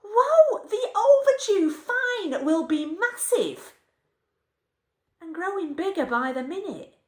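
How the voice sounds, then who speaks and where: Animated, very slow woman reading a story, roomy. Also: high-pitched. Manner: wry, animated, deliberate pauses between short phrases. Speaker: reader of a short story. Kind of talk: expressive live story reading. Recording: roomy, echoing sound.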